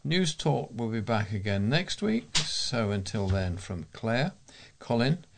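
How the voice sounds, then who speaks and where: A man's voice: lowish voice, sing-song, slow, vocal fry, a crowd in the background. A man with an English accent reading local newspaper items and announcements aloud as a volunteer presenter.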